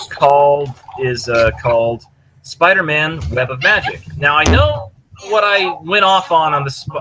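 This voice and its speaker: A man's voice: medium pitch, sharp tone, rough recording. A man chatting casually and enthusiastically in a rambling way as a podcast co-host, swearing freely as he recommends stories. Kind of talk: casual chat recommending fan fiction.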